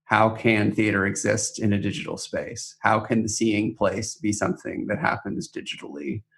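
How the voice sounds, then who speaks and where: A man co-hosting a podcast, speaking conversationally in a thoughtful, measured manner. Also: fairly low pitch, level tone, creaky, fairly bright tone. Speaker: podcast co-host. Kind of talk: conversational podcast talk about a theater project.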